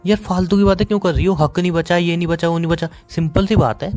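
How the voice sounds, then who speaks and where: A man's voice: high-pitched, fairly even intonation, a little mellow, faint music behind, lightly acted. A man with an Indian accent playing a sarcastic, dismissive young boyfriend in a phone-call romance drama. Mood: sarcastic, accusing. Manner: sarcastic, dismissive, rapid-fire. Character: bitter, sarcastic young boyfriend fed up with his girlfriend.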